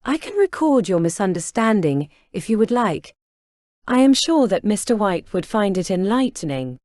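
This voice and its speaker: A woman's voice: medium pitch, sing-song, moderate pace, darkish tone, dry recording, clean studio sound, pitched above the performer's own voice, natural voice. A performer voicing a stern, stiff, officious female receptionist in an audiobook, with clipped, formal, disapproving delivery. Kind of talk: audiobook character dialogue.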